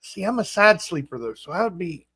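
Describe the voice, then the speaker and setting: A man in his forties hosting a casual livestream chat, rambling and halting with fillers and restarts. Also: high-pitched, big pitch swings, vocal fry, fairly bright tone, husky, dry recording, clean studio sound. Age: 40s. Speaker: host.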